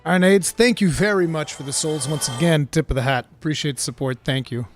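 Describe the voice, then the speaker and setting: A man's voice: fairly high pitch, fairly quick, faint music behind. A Portuguese man with an American-sounding English accent, chatting casually as a streamer and reading viewer questions. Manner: excitable, laughs often, says dude a lot.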